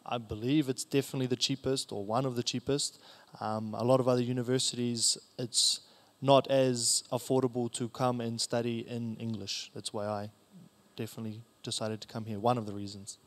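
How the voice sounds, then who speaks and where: A man's voice: medium pitch, little pitch movement, a little fast. A young man with a New Zealand accent, a first-year student, speaking in an easygoing way. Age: young adult. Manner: easygoing, laughs a bit. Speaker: student panelist.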